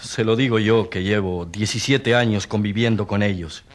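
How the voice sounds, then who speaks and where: An actor playing an earnest country doctor testifying in a courtroom radio drama, explaining with grave, emphatic concern. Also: male voice, lowish voice, normal speed, natural voice. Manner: emphatic, expository. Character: earnest doctor witness, long among peasants, gravely concerned.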